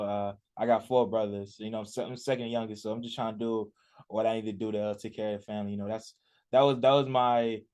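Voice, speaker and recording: A young man from Richmond, Virginia, talks fast and loosely as a guest on a radio sports show. His pitch sits in the middle of the male range. He keeps breaking off sentences and packing in fillers as he answers. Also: darkish tone. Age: 20s. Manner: rambling, many fillers and restarts. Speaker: college athlete guest. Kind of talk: casual radio interview.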